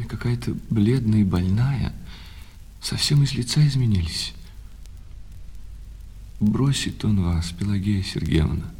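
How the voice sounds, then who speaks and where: Male shop clerk, radio drama: servile, lovesick and reproachful, mid-pitched and very changeable, long reverb. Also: darkish tone, breathy edge, exaggerated voice. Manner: servile sales patter alternating with reproachful, insistent talk. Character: lovesick, bitter shop clerk putting on polite salesman patter. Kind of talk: Russian radio play of a classic short story. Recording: long reverb with music behind.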